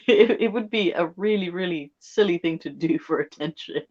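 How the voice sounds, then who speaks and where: A woman whose first language is Bengali, co-hosting a casual talk show and answering with quick, brief replies. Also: fairly low pitch, a little mellow, dull audio. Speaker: podcast co-host who recites scripture. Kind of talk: casual chat with recitation.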